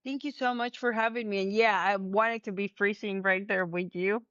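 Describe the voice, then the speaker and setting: A woman from Mexico City speaks English with a Mexican Spanish accent, telling her story in an earnest, animated way at a middle pitch. Her audio comes over a remote call and sounds dull and muffled. The sound is dry, with no room around her. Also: moderate pace, no room sound. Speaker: interview guest. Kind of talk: conversational interview. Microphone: remote call from home.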